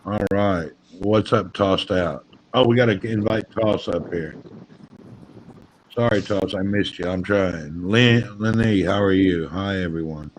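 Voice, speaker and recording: A man's voice: deep voice, a little slow. Guy hosting a laid-back live group chat, some noise behind him. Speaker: livestream host. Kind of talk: casual group livestream chat. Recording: noisy live stream.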